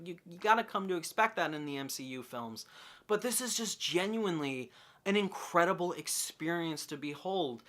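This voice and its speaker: Bright, high-voiced excitable young man reviewing. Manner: excitable, emphatic, informal with mild swearing. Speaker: movie reviewer. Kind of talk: enthusiastic video review to camera.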